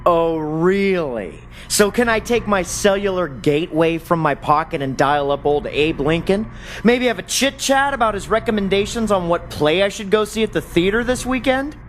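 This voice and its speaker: An actor in an audio play as a bewildered, sarcastic secret agent who talks in an agitated, demanding way. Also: male voice, high voice, expressive, moderate pace, soft background music. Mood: sarcastic disbelief. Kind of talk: audio drama acting.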